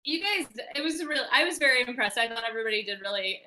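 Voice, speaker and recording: A woman chats casually in a group, her voice very high and bright. Her pitch moves around a lot as she talks, and the recording is slightly noisy. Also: animated, racing. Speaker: table read participant.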